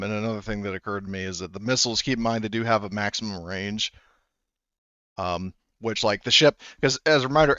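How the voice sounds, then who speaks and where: Man game master, smooth low voice. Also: bright, forceful tone, no room sound, dull audio. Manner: rambling, explanatory, full of "you know". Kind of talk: narrating a tabletop game.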